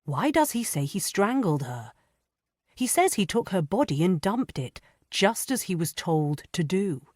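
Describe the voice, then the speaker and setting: A woman voicing a strong young nobleman who speaks in a dry, measured way. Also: fairly low pitch, sing-song, a little mellow, no room sound, voice pushed up. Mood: composed.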